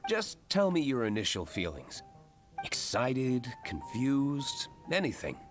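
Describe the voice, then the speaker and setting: An actor playing a reserved, courteous young gentleman tavern owner in a video game, measured and dry. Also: mid-range voice, wildly swooping pitch, soft background music. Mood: dry, curious.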